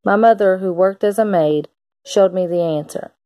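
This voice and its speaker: A woman's voice: mid-range voice, moderate pace, dark tone, dry recording. A woman reading a children's picture book aloud like a teacher, expressively acting out the characters' lines.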